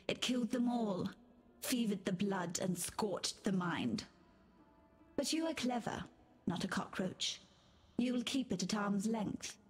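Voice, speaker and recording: A woman's voice: medium pitch, lightly acted. A woman playing a mad, bitter, undying queen in a video game, muttering and repeating herself theatrically. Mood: conspiratorial. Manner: unhinged, repetitive, theatrical, muttering.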